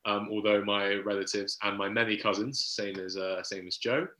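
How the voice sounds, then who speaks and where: A man's voice: on the low side, level tone, moderate pace, bright, forceful tone. A young man from Hong Kong with a British accent, speaking as a guest in a casual remote interview, self-deprecating and articulate. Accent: British English. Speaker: guest, creative industry worker. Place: room at home. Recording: roomy home room.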